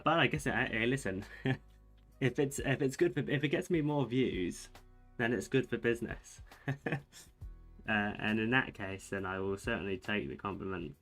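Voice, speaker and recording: Soft-spoken young man rambling over music. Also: lowish voice. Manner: rambling, hesitant, many fillers and restarts; soft-spoken, called soothing by viewers. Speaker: streamer reading chat. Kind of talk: casual livestream chat while playing chess. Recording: faint music behind the voice.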